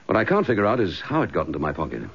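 An actor playing an embattled, indignant British police chief inspector in a radio drama, clipped, forthright and sardonic. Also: male voice, sits low, big pitch swings, racing, husky, full character voice. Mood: tense, urgent. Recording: slightly dull radio drama recording.

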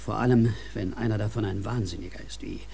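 A man's voice: fairly low pitch, steady tone, fast, vocal fry, warm tone, slight background noise. A middle-aged man playing an insistent, commanding police officer and protective father in a radio play. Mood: stern, probing.

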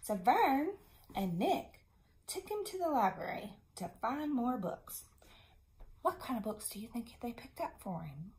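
A woman with a fairly high, very lively voice reads a storybook to small children. She is expressive and teacherly and checks in with her young listeners. The room around her is clearly echoey. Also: measured pace. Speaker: schoolteacher. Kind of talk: picture book read-aloud for young children. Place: small indoor classroom-style room.

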